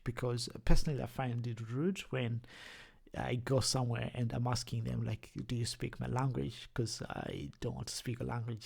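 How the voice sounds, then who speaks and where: A man's voice: medium pitch, gravelly, a little mellow, husky. A young man with a thick Zimbabwean accent who talks through a casual screen-share tutorial, stumbling and repeating words as he goes. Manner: halting, many repeated words and restarts. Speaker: YouTuber.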